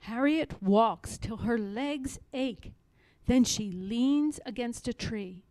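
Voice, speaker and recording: A woman reading a children's picture book aloud in a measured, dramatic narrating style. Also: on the high side, warm tone, rough recording. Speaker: storybook reader.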